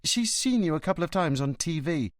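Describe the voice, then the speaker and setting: A frightened, pleading son, as a male narrator voices him, pitched higher than the reader's own voice and lively in tone. He sounds anxious, his words near cracking. The sound is close and dry, as in a read-aloud crime story. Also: a little fast, no echo, natural voice. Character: anxious worried grown son, middle-aged man. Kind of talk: audiobook character voice.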